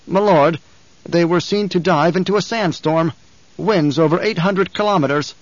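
A man doing a jittery, high-strung guard captain. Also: dry recording, close to an everyday voice. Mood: anxious. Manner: eager, hurried, deferential. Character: nervous male guard captain, drug-dulled, flustered. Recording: dull, dry studio recording.

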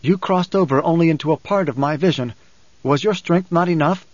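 A man voicing a grave, resolute young son, a coolly prophetic desert mystic in an audiobook, measured and unyielding. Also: fairly high pitch, a little mellow, natural voice. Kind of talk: audiobook character acting. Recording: dull cassette audiobook, roomy echo.

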